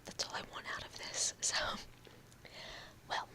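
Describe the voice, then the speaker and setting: A young woman in her room, whispering slowly and softly into a close mic in a casual ASMR ramble, her voice a little odd after a cold. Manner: slow, halting, talks with fillers and small laughs. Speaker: ASMR video creator.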